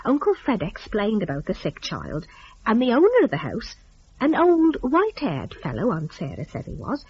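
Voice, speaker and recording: A woman storyteller with a husky voice and very lively pitch. She narrates dramatically in folksy rustic phrasing, as if talking to you. The audio is a little dull and lo-fi. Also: normal speed.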